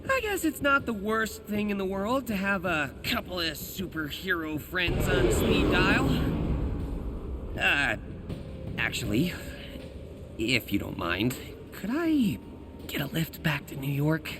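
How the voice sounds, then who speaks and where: Young American superhero, self-effacing, hesitant, wry, lively intonation, video game dialogue, noisy background. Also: male voice, high-pitched, slow pace, long pauses, exaggerated voice. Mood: friendly, modest. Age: young adult. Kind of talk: naturalistic video game voice acting.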